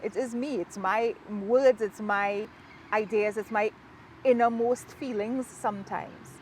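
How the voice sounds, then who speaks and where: A woman from Trinidad and Tobago with a Trinidadian accent, an author talking about her book in an outdoor interview in a park, reflective and quick to laugh. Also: mid-range voice, steady tone, a little hiss or hum. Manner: warm, reflective, laughs easily. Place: outdoors in a park, rain falling.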